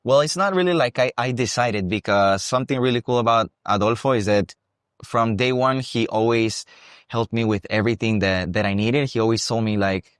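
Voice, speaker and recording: A young man from Costa Rica with a Costa Rican accent, talking about his dance career in a relaxed, thoughtful interview. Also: medium pitch, brisk, clear, resonant tone, no echo, clean studio sound. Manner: relaxed, thoughtful, with frequent restarts. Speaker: guest, salsa dancer and teacher. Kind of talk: casual interview. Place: salsa congress venue.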